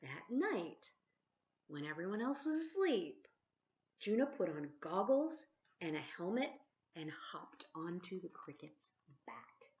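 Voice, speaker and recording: Animated woman reading a storybook aloud. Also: lowish voice. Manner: animated, pausing to ask listeners questions. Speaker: teacher reading a picture book aloud. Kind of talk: expressive children's storybook read-aloud. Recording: dull lo-fi sound.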